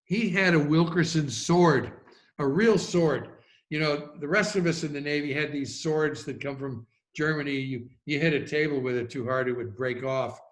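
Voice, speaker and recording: An older man chatting casually and reminiscing in an unhurried, rambling anecdotal way. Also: fairly high pitch. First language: English. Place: online video call.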